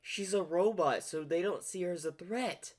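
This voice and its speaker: A man's voice: high-pitched, normal speed, heavy background noise. A man hosting a reaction video, casually thinking aloud and speculating as he reacts.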